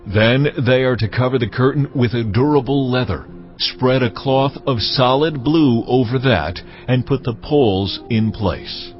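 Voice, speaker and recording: A man playing God in a dramatized scripture reading, giving solemn commands in a measured, authoritative, formal way. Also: mid-range voice, lightly acted. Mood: solemn, commanding. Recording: slightly muffled, music underneath.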